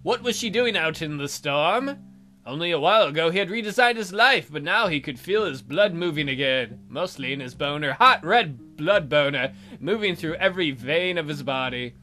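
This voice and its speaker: A man's voice: fairly high pitch, animated, normal speed, bright, forceful tone, soft background music. A man hosting a let's play, reading a visual novel aloud as dramatic narration with joking asides.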